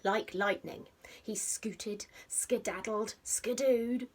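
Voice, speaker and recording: A woman reading a picture book aloud to young children like a teacher, expressively, with dramatic pauses and stressed rhymes and sound words. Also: medium pitch, fairly even intonation. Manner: simple explanatory asides addressed to young children. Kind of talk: animated storybook read-aloud.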